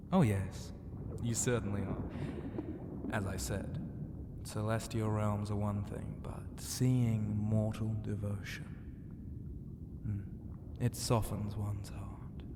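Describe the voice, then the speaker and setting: Man playing a slow, low, flirty ocean god. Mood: flirtatious, tender. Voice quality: breathy, often dropping into whisper. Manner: slow, teasing and grandiose, with hesitant hums and murmurs. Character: flirty, self-assured male ancient ocean god in humanoid form. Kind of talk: ASMR roleplay, intimate half-whispered delivery. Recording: long reverb, clear background ambient noise.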